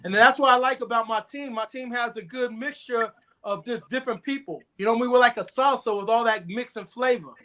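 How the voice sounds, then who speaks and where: A man's voice: extremely high pitch, fairly quick. A man from Puerto Rico, a boxing coach, chatting casually in a group and telling stories with street slang. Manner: animated, storytelling, street slang.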